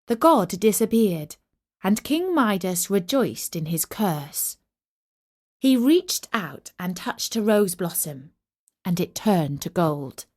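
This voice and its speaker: A woman narrating a scripted children's story as its host, expressive and carefully phrased. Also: medium pitch, takes it slowly.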